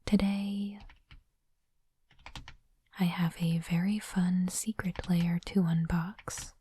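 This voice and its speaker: A woman whispering in a breathy, unvoiced voice, slow and deliberate, in the style of an ASMR host. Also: fairly low pitch, flat delivery, slight background noise. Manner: slow, deliberate, softly reading aloud. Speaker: host of an ASMR unboxing channel.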